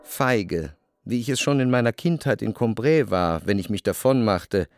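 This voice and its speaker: An actor playing a reflective, melancholy male narrator, reading scripted first-person literary prose for a radio drama in measured, formal phrasing. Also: on the low side, animated, over music. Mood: detached recollection.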